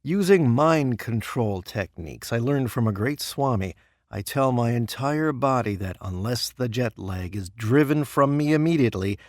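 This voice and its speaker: An actor playing a patient, deferential presidential aide in a comedic audio drama, speaking in a measured deadpan. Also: male voice, mid-range voice, no room sound, clean studio sound, close to an everyday voice. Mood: earnest, deadpan.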